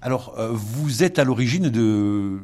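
A man's voice: medium pitch, expressive, a little slow, darkish tone. A male interviewer asking questions in a hesitant way, with frequent fillers.

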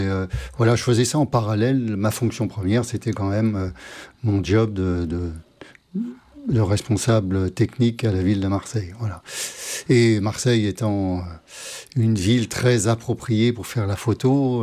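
An older man from Normandy talking casually as an interview guest, pausing often to search for his words. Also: lowish voice, fairly even intonation, warm tone. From Normandy, France. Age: older, 60s or 70s. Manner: hesitant, halting, searching for words.

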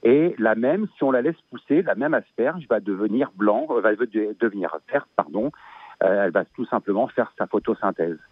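A Belgian man in his fifties, a farmer guest on a radio show, talking haltingly with many fillers and restarts. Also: lowish voice, expressive, breathy edge, dry recording. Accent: Belgian French. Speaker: asparagus grower guest. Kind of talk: radio interview, casual chat. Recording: tinny phone line.

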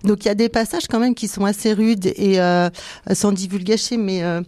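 A woman's voice: medium pitch, fairly even intonation, moderate pace, dark tone, no echo, clean studio sound. A woman in her forties, a film-review guest, talking enthusiastically with many hesitations. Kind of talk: casual chat.

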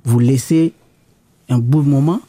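Deep, dark-voiced man. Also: no room sound. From DR Congo. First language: Lingala. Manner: halting, repeats words while searching for them. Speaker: radio guest. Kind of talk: casual radio chat.

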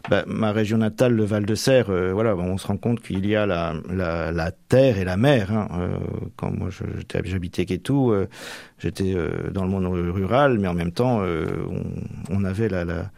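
A young man with a fairly low voice talks through his path in a radio interview. He hesitates often, repeating words and filling pauses as he searches for what to say. The recording is close and dry, with no room sound. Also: vocal fry, a little mellow, dry recording. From Manche, Normandy. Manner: hesitant, many fillers and restarts. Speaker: future priest, interviewee.

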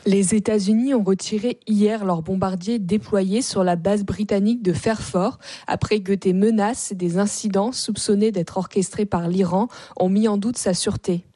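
A woman delivers a scripted report as a news correspondent. Her pitch is average and her tone is mellow and dark. The sound is dry, with almost no room around it. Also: steady tone, moderate pace, hardly any pauses, husky, dry recording.

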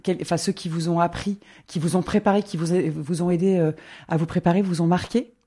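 A woman hosting a radio interview, asking gentle questions and often restarting her sentences. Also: on the low side, fairly even intonation, fairly quick, husky. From not from the local region. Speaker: radio host.

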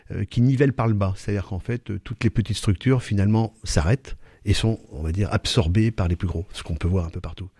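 A man with a low, mellow voice answers interview questions about his company. He speaks haltingly, full of fillers and restarts. The sound is dry and close. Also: no echo. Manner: hesitant, many fillers and restarts. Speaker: company executive, guest.

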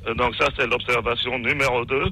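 A man from Belgium, calling in to a radio show, rambling with many halting restarts. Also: medium pitch, flat delivery, bright, forceful tone, slightly rough. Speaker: caller. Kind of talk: phone-in call. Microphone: phone line. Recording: phone-in call on radio.

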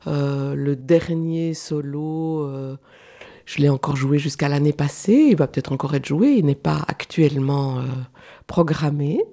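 A woman from Switzerland speaks in a low, mellow voice as a radio guest. She talks thoughtfully and haltingly, with many fillers, as she explains her work in a studio interview. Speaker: guest, clown and actress.

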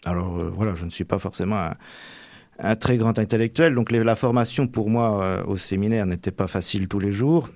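A middle-aged man from Normandy giving reflective, halting interview answers, often tagging the ends of his phrases. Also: on the low side, a little mellow, no room sound. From Manche, Normandy, France. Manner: hesitant, halting, frequent tags at phrase ends.

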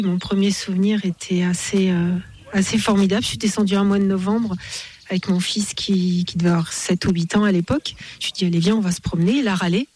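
A woman's voice: mid-range voice, a little mellow. A middle-aged woman from Paris, a guest in a casual outdoor interview at a building site, talking hesitantly with many fillers and restarts.

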